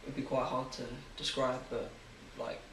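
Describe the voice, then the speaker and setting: An eighteen-year-old man gives hesitant, filler-laden answers in a flat, even voice. He sits well away from the microphone in a reverberant room, with faint ambient noise behind. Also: medium pitch, moderate pace. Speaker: interviewee. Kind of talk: casual answers. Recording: echoey room, far from mic.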